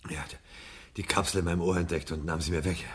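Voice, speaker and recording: An actor playing a desperate, guilt-ridden man on the run in a radio drama, speaking in an agitated, halting mutter. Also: low voice, level tone, slightly rough. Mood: subdued, confessing. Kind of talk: 1970 German radio drama acting. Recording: noisy radio drama, vehicle sound behind.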